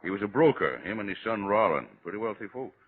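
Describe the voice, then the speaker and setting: Deep folksy Southern lieutenant. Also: male voice, animated, quick pace, slightly rough. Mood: easygoing, laconic. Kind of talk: 1950s radio drama acting. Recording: muffled, noisy old radio recording.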